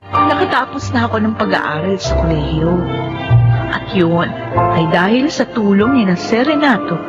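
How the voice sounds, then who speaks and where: A woman's voice: medium pitch, expressive, moderate pace, natural voice. Woman as Heidi, earnest young drama heroine, dull-sounding. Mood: earnest, relieved. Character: Heidi, grateful young college graduate telling her life story. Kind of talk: dramatic first-person radio drama narration. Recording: dull recording under loud music.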